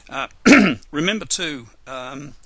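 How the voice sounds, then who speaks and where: A man losing his voice, hoarse and chatty, teaching a live software webinar with dry, self-mocking humor. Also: on the high side, animated, slow. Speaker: software trainer.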